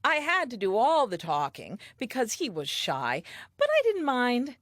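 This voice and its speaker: An actress playing a talkative, eager and self-assured first woman telling her diary in a comic audio play, earnest and expressive. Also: on the high side, measured pace, no room sound, clean studio sound, heavily acted. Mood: hopeful, wistful.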